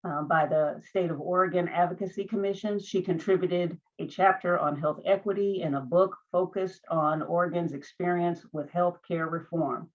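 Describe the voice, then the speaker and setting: A woman's voice: on the low side, steady tone, moderate pace, few pauses. A woman hosting an online event, giving a formal scripted introduction. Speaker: host introducing readers. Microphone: online call connection. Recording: tinny phone-like online call audio.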